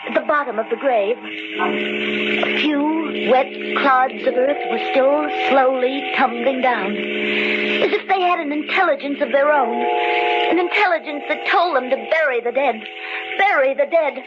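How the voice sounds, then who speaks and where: A haunted young heroine of a vintage radio thriller. Her high voice is intense and melodramatic, frightened yet defiant, over loud music on a muffled old recording. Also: unhurried. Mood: haunted, grim. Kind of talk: old-time radio dramatic acting and narration.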